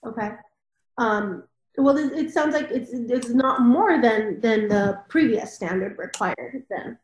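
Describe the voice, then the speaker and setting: A woman's voice: a little high, expressive, takes it slowly. A woman hosting a discussion, reading formal requirements aloud and moderating. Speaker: discussion host. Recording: roomy, dull lo-fi mic.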